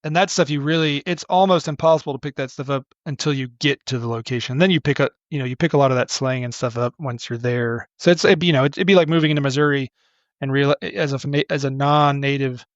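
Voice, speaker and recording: A middle-aged man, a podcast guest, talking casually in a relaxed, rambling way with many restarts. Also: mid-range voice, fast, no room sound, muffled recording. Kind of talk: casual interview talk.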